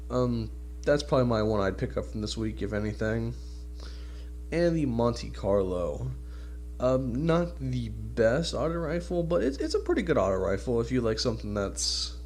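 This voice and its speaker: A man's voice: medium pitch, a little mellow, slight background noise. A man with a stuffed-up, nasal voice from a cold, giving casual, informal video game commentary as a channel host.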